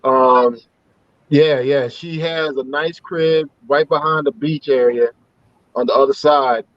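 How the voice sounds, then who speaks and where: A man's voice: medium pitch, fairly even intonation, takes it slowly, no room sound. A man from Haiti chiming into a casual group chat, emphatic and repeating words as he cuts in. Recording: dull lo-fi call audio, very dry.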